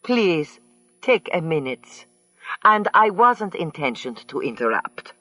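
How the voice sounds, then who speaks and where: A woman's voice: on the high side, animated, unhurried, a bit bright, dry recording, faint music behind, voice pushed up. A man voicing a fussy wealthy woman who gushes and mangles her phrasing. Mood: insistent. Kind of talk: audiobook character voice.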